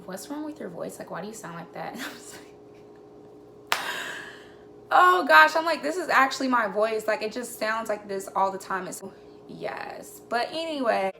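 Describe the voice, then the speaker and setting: A woman's voice: a little high, expressive, unhurried, bright, forceful tone. A young woman from North Carolina with a naturally crackly voice, chatting through a rambling storytime vlog in her kitchen, swearing casually and full of fillers. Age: young adult. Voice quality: crackly, gravelly voice. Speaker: vlogger. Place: home kitchen.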